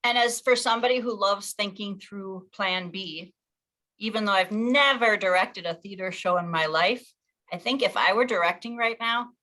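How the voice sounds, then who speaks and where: Woman, meeting leader, bright clear-cut tone, conversational, thinks aloud as she explains. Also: mid-range voice, moderate pace. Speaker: league official leading an online meeting. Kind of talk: informal online meeting talk.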